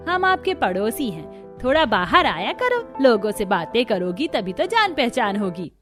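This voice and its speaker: Woman, mid pitch, cartoon dialogue, expressive household chatter, music playing behind. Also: measured pace. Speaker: voice actor for several characters. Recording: voice over clear background music.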